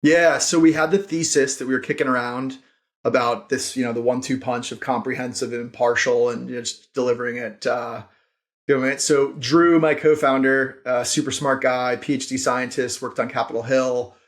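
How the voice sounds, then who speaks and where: A man's voice: medium pitch, fairly even intonation, normal speed. A man speaking casually and emphatically as a podcast guest, telling stories about his work as a founder in an informal, enthusiastic way. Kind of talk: casual interview storytelling.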